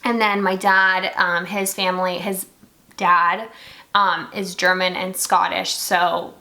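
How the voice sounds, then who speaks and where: A woman's voice: fairly low pitch, little pitch movement, takes it slowly, pushed and bright. A young woman from Singapore chatting casually while doing her makeup, filling her talk with lots of "like". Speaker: beauty vlogger.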